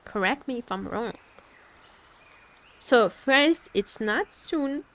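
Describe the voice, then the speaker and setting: Bright-voiced, animated woman streamer. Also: a little high, slow. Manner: expressive, giggly, repeats phrases. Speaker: game streamer. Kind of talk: casual livestream chat while gaming.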